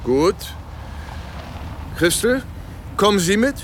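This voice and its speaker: An actor playing a confident US Army captain in a radio drama, decisive, polite and commanding. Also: male voice, high voice, sing-song, takes it slowly, a bit bright, a little hiss or hum, exaggerated voice. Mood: businesslike, polite.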